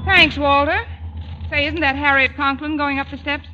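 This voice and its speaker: An actress playing a wry high school teacher who tosses off dry sarcastic quips in a 1940s radio sitcom. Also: female voice, steady tone, moderate pace, over loud music, natural voice. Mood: wry, obliging. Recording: old radio broadcast, dull, faint noise.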